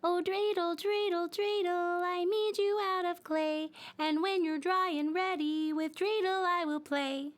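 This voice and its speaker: A woman's voice: very high voice, fairly even intonation, a little slow, hardly any pauses, soft background music, voice pushed up. A woman voicing a small, childlike, playful talking toy dreidel in an eager, exclaiming storybook manner. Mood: playful singing. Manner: pleading, exclaiming, sing-song.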